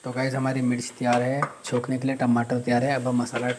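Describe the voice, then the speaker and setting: A man's voice: mid-range voice, monotone, fast talker, loud music behind the voice. A man casually talking viewers through cooking in a small home kitchen, explaining each step as he goes. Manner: chatty, explains steps to viewers as he cooks. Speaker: vlogger.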